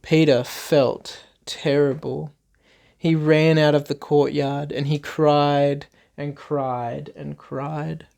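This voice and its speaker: A man's voice: on the high side, flat delivery, slow, vocal fry, darkish tone. A man reading a Bible story aloud for children, expressively, in simple repeated phrases with questions to his young listeners.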